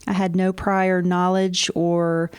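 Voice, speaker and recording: A woman who teaches and interprets sign language, giving clear, teacherly explanations with examples during an interview. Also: on the low side, little pitch movement, takes it slowly.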